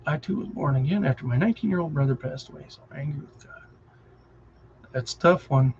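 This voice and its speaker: A man's voice: on the high side, fast talker, vocal fry, a little mellow, a little hiss or hum. Chatty Wisconsin man, lo-fi stream. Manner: rambling, easily distracted, chuckling. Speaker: reseller streaming live. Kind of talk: casual live-stream chat.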